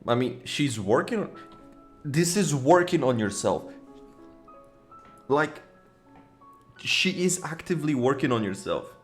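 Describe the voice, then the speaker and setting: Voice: mid-range voice, very animated, a little slow, pauses often, soft background music. A game streamer giving casual, slangy, emotionally invested commentary during a late playthrough. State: sleepy.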